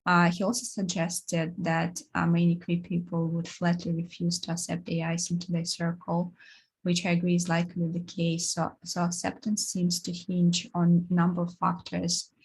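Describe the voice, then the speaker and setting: A woman giving a seminar talk, halting, with many fillers and restarts. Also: lowish voice, a little mellow, slightly rough, rough recording. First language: Russian. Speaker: course presenter.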